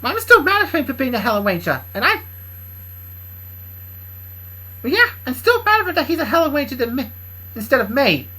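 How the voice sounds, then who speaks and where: A woman's voice: big pitch swings, fast, sharp tone, full character voice, rough recording. A woman voicing a defensive, resentful young woman who rambles and stumbles over her words. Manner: rambling, stumbling, high and strained. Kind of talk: improvised fan story voicing.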